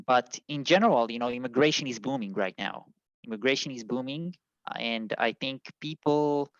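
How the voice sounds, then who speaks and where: Man from Israel, middle pitch, halting speech with many fillers, dull lo-fi sound. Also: a bit bright, smooth, dry recording. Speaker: immigration consultant guest. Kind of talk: interview answer, casual.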